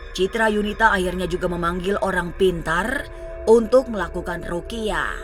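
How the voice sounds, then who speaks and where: A woman's voice: lowish voice, normal speed, faint music behind. A woman delivering scripted narration as the narrator of a paranormal television show.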